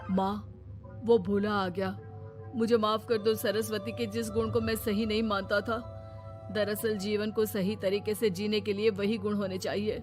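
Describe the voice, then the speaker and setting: A woman's voice: mid-range voice, little pitch movement, unhurried, over music, lightly acted. A woman playing an adult son in a cartoon family story, earnest and placating. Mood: apologetic. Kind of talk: cartoon moral story acting.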